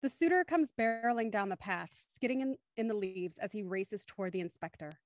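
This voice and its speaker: A woman's voice: mid-range voice, fairly quick, dry recording, clean studio sound. A woman narrating a radio play and hosting its livestream over a video call. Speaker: narrator and host. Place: indoors on a group video call.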